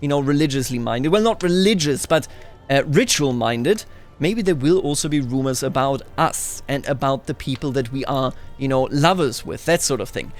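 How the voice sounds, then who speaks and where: A man's voice: mid-range voice, expressive, moderate pace. A man giving casual roleplay commentary on a video game, musing and narrating it as a story. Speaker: gaming video host. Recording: music under the voice. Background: background music.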